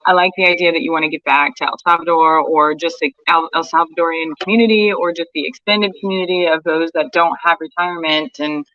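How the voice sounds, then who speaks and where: Bright-voiced woman, chatty and rambling. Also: sits low, fairly even intonation, brisk, hardly any pauses, highs cut off, a little hiss or hum. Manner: rambling, lots of fillers and restarts. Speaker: homeschooling mom. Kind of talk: casual podcast chat.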